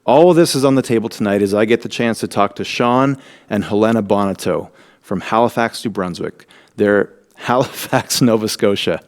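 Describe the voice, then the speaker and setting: A man hosting a church talk program, giving a measured, earnest scripted introduction. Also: lowish voice. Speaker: host.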